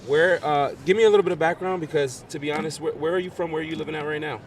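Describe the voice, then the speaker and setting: Fast-talking chatty young man. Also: a little high, steady tone, distant mic. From the Bronx. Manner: chatty, eager, rambling. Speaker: interviewer host. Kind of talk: casual livestream interview. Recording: off-mic with loud background noise.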